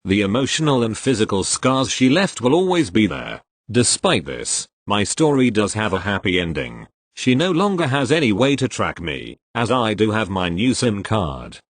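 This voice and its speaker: A man reading online posts aloud as the regular reader of the channel, in an expressive storytelling way. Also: fairly low pitch, moderate pace, hardly any pauses, no echo.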